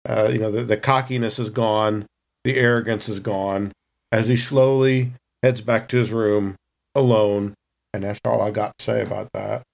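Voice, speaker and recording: A man's voice: fairly low pitch, flat delivery, moderate pace, creaky, a little mellow. Man casually narrating his game character. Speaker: tabletop roleplaying player.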